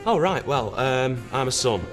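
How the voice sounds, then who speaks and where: Hesitant young son. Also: male voice, mid-range voice, sing-song, over music. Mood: uneasy. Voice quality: hesitant, halting. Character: awkward young man, a son back home.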